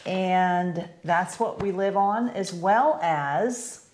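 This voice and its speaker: Chatty older American woman, lowish voice. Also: drawn-out words, darkish tone. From United States. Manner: chatty, upbeat, leads the questions. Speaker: co-host. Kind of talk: casual Q&A chat.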